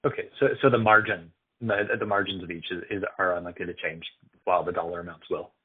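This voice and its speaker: A man speaking as a financial analyst, putting questions on an earnings call with tentative, halting phrasing. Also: low-pitched, fairly even intonation, husky. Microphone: conference call line. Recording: thin phone-like conference call line.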